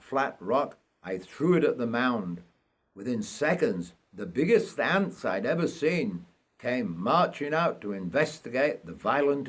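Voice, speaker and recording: Older male narrator from northern England: unhurried, husky, animated intonation for dramatic autobiographical storytelling. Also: mid-range voice. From North Yorkshire, England. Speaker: writer reading own story.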